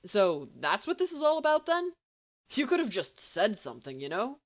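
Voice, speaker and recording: Audiobook role: flippant teenage boy played by a woman, easily wounded, mock-grand, swooping expressive delivery. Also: mid-range voice, moderate pace, pitched above the performer's own voice. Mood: protesting. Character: brash, wounded, teasing teenage schoolboy.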